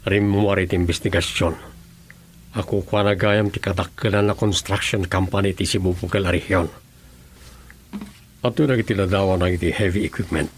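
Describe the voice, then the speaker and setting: A man acts a deliberate, serious questioner in a radio serial. His voice is deep, and there is slight ambient noise behind it. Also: steady tone, natural voice. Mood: serious, probing. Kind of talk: radio serial drama acting.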